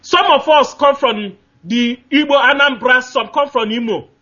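Nigerian-accented male caller, bright tense tone, insistent and repetitive, muffled phone-line sound. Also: very high-pitched, normal speed. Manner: emphatic, repetitive, gropes for names with fillers. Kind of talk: call-in radio talk.

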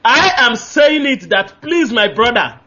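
A man's voice: very high voice, animated, a little slow, pushed and bright, dull audio. A man with a Nigerian accent calling in to a radio talk show, speaking emphatically and repeating his points, often groping for names with fillers. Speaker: caller.

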